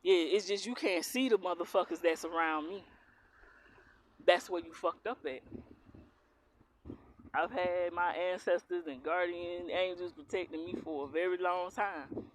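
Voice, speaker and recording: Woman, Southern accent, blunt and defiant, curses freely, tarot vlogger, clear noise behind her. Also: on the low side, a little slow, pauses often, rough recording. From Philadelphia, raised in the US South. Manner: blunt, heavy cursing, defiant. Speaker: tarot reader. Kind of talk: casual to-camera rant.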